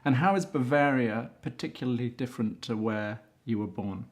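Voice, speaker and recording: A man in a relaxed interview, talking in a chatty way and often cutting in with his own remarks. His voice sits at an ordinary middle pitch for a man, and his easy laugh comes through often. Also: normal speed. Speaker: interviewer and show host. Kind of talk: casual interview chat.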